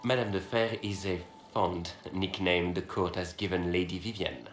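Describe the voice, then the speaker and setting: Actor as a genteel, deep-voiced courtier. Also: male voice, steady tone, normal speed, reverberant, faint music behind, close to an everyday voice. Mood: affable. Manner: courtly, polite. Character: genteel, sociable male court guest.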